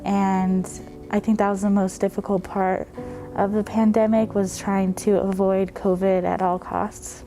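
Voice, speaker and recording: A young woman from California, a student, answering interview questions in an even, matter-of-fact way. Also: mid-range voice, unhurried. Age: young adult. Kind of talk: documentary interview. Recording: music bed under the voice.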